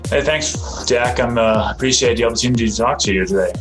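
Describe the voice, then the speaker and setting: A man in his forties from Denmark with a Danish accent, speaking as a podcast guest in a relaxed, halting way with many fillers and restarts. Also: mid-range voice, soft background music. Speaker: guest, real estate investor. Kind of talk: casual interview talk.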